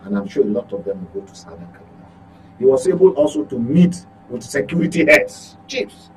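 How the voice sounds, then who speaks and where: A man's voice: mid-range voice, big pitch swings, a little fast. A Nigerian-accented man giving political commentary on a talk show as a journalist, emphatic, often repeating and correcting his words. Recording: clearly noisy with electrical hum.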